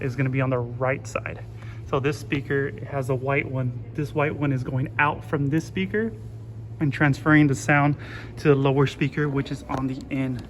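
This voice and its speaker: A young man giving a casual hands-on tutorial in an informal, reassuring, plain-spoken way, recorded on a phone in a large indoor hall. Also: medium pitch. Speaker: instructor. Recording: steady background noise, room ambience.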